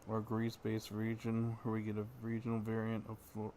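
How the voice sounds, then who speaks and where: A man's voice: on the low side, completely flat delivery, a little fast, dark tone. Offhand man livestreaming, faint noise. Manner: musing, offhand. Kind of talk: casual gameplay commentary.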